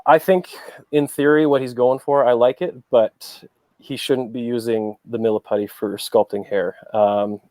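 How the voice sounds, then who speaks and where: Mellow-voiced man giving encouraging critique. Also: mid-range voice, fairly even intonation, no room sound. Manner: measured, encouraging, considerate. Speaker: guest customizer. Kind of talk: casual chat.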